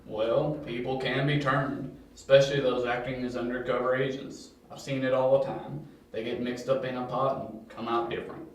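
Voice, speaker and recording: A blunt, world-weary policeman, acted by a man, talks in a flat monotone. The amateur audio drama catches him far from the mic in a very echoey room. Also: medium pitch, normal speed, few pauses, a little hiss or hum, natural voice. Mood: matter-of-fact.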